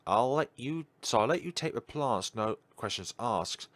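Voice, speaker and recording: A man's voice: medium pitch, animated, measured pace, a bit bright, dry recording, pitched above the performer's own voice. A man voicing an eager, curious young alchemist hero in a fantasy visual novel, talking conversationally. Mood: bargaining. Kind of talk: read-aloud visual novel dialogue. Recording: background music under voice.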